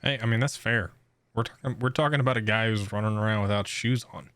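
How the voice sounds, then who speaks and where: A man's voice: on the low side, fast, fairly bright tone, no room sound. A man giving laid-back, slangy reaction commentary as a gaming streamer, close on the microphone. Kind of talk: casual gameplay reaction commentary. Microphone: close microphone, voice-over on game audio.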